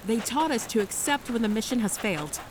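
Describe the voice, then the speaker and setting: A woman's voice: on the high side, sing-song, fairly quick, background noise. A woman acting a young, dutiful but shaken navy ensign in an audio drama, with clipped military formality. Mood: defeated. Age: young adult.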